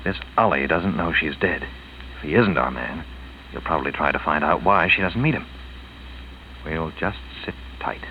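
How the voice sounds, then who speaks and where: A man's voice: very deep voice, expressive, moderate pace. A man playing a stern, commanding police detective, terse and authoritative, in a 1940s radio drama. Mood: musing. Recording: muffled old radio recording, faint noise.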